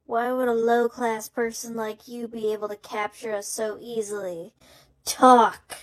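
A man's voice: extremely high pitch, flat delivery, unhurried, fairly bright tone, no echo, voice pushed up. A man voicing a haughty, hot-tempered female insect monster in an audio drama, disdainful and demanding. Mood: disgusted, demanding.

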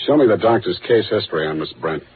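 An actor plays a cool male private eye in a vintage radio drama. His voice sits fairly low, and he talks in terse, sardonic slang. The old recording is thin and faintly noisy. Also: lively intonation, quick pace, phone-quality sound, lightly acted. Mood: dry, probing. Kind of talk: 1940s radio detective acting.

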